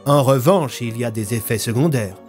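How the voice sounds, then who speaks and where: A polite magician in an audio story, played by a man. He speaks at a medium pitch with a composed manner, faint music behind him. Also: normal speed, a little mellow, natural voice.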